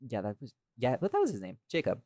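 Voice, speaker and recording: A man's voice: medium pitch, wildly swooping pitch, fast talker, mellow, dry recording, studio quality. A man co-hosting a casual, chatty podcast discussion, speaking emphatically and repeating phrases for stress. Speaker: audio series creator and podcast co-host.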